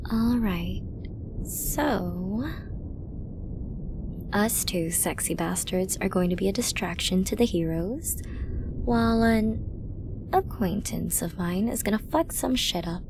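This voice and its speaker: A woman playing a flirty, dominant villain in an ASMR roleplay, teasing and possessive, often slipping into an intimate whisper. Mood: wry, businesslike. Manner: slow, teasing, breathy lapses into whispering. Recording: noisy with low rumble.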